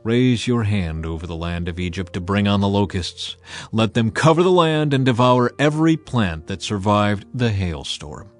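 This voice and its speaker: A man's voice: lowish voice, animated, moderate pace, soft background music, natural voice. A man voicing God as a stern, authoritative deity in a dramatized scripture audiobook. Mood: commanding.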